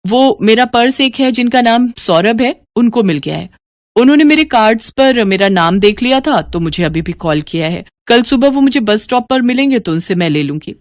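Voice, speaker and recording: Woman voicing an earnest, animated devoted young sister. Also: on the high side, normal speed, no room sound, studio quality, lightly acted. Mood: excited explaining.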